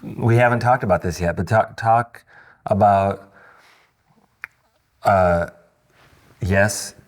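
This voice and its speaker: A man in his early fifties hosting a casual interview, informal and blunt, with halting phrasing. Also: fairly low pitch. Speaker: podcast host.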